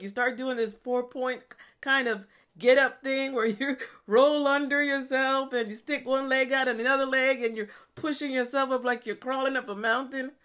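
A woman's voice: on the high side, fairly bright tone. A woman in her fifties, a fitness coach, talking casually on her podcast in a chatty, self-deprecating way with frequent laughs. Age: 50s. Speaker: online fitness and nutrition coach. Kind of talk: casual solo podcast talk.

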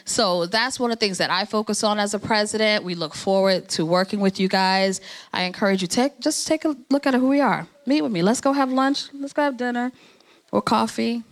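A woman's voice: medium pitch, a little fast. A woman from New Jersey, the president of an organization, giving a conversational, encouraging speech at a networking event. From New Jersey, USA.

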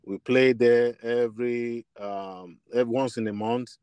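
A man's voice: mid-range voice, steady tone, unhurried, darkish tone, smooth, no echo. A man with a Nigerian accent answering as a musician guest in an interview, hesitant and often repeating words as he looks for his phrasing. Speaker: musician guest, bassist and singer. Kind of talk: interview answers, personal storytelling.

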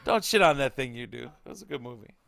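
A man's voice: medium pitch, lively intonation, fast talker, gravelly, fairly bright tone. A man co-hosting a podcast, cracking crude jokes and laughing often in casual movie banter. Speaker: podcast co-host.

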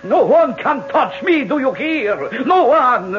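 Shrill, raving dictator. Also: male voice, lively intonation, slow, exaggerated voice. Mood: grandiose, raving. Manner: grandiose, frantic, pleading. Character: raving male military dictator, arrogant and desperate. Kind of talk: old radio drama acting. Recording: noisy, dull old radio recording.

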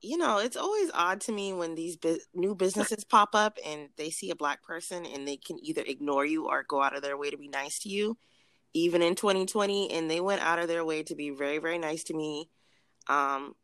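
A woman co-hosting a podcast in casual chat, blunt and profane, her voice a little raspy from cigars. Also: low-pitched, normal speed, fairly bright tone, dry recording. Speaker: podcast co-host.

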